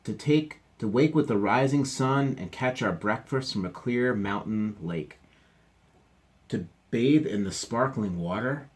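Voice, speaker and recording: A man's voice: mid-range voice, measured pace, lightly acted. A man voicing an eager, overconfident father bear in a storybook read-aloud. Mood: boastful. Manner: hearty, overconfident.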